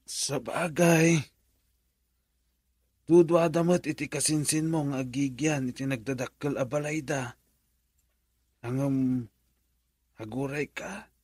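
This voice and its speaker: A man's voice: mid-range voice, fairly even intonation, slow pace, pauses often, a little mellow, breathy edge, no echo. A Filipino man playing a tender, careworn father of a grown daughter in a radio drama. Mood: gentle, hesitant.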